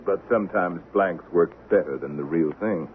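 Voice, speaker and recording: A man's voice: sits low, normal speed, natural voice. An actor playing a cool, vengeful man of the Old West, taunting and sardonic, in a 1970s radio drama. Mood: triumphant, contemptuous. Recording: tinny, noisy vintage radio broadcast.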